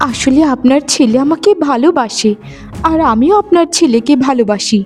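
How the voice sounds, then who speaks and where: A woman acts a meek young wife in a moral-story cartoon. Her high voice is plaintive, submissive and long-suffering, set over faint music. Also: lively intonation, moderate pace, a little mellow. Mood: pleading. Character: meek, pleading young daughter-in-law, long-suffering and gentle.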